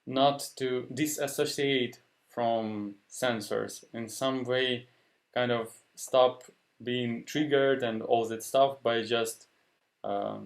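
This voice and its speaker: A man with a Ukrainian accent, a Ukrainian native speaker, talking in a halting way and often stopping to search for words. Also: mid-range voice. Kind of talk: casual discussion.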